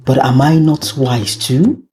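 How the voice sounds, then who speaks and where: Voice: mid-range voice, slow pace, dark tone, slightly rough, soft background music. A storyteller voicing a sly, greedy, envious tortoise who mutters to himself in a folktale. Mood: scheming.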